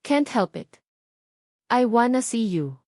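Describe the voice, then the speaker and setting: A woman's voice: on the high side, very animated, slow, a little mellow, no room sound, studio quality, voice pushed up, full character voice. Woman playing scornful, angry male former lover. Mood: taunting.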